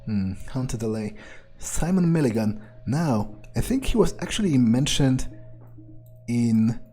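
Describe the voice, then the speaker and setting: A man's voice: mid-range voice, very animated, a little slow, mellow, soft background music. A man doing in-character gameplay commentary, thinking aloud in a nonchalant, rambling way with casual swearing. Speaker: let's player.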